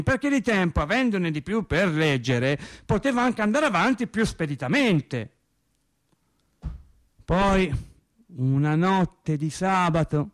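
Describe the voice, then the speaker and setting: An actor playing a naive working-class man in a radio drama monologue, telling his sad story in a colloquial, halting, wry way. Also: sits high, dry recording. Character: naive working-class man recounting his cancer and death, resigned, tender.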